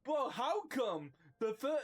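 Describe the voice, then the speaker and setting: Gamer woman reacting casually, blunt, slow talker, pitch jumps all over. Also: sing-song. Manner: blunt, swearing, emotive. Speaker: game streamer. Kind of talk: casual reactions to a game playthrough. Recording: faint game music behind the voice.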